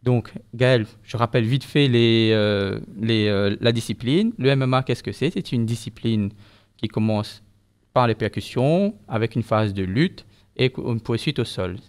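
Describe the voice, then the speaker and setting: A male interviewer with a voice of average pitch asks formal, polite questions. He introduces his guest in a measured, read-out way. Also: smooth. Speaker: interview host.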